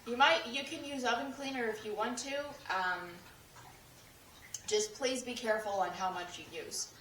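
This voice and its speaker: A young woman with a hoarse, fading voice, chatting through a cleaning demonstration with lots of fillers and restarts. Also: a little high, normal speed, a bit bright, a little hiss or hum. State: losing her voice. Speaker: cleaning tips creator. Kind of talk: casual how-to demonstration. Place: home kitchen. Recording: echoey room, voice from a distance.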